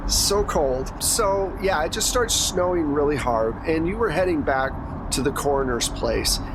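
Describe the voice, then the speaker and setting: A man's voice: fairly high pitch, background noise. A man running a tabletop horror game, narrating conversationally with wry asides. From Wisconsin. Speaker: game master.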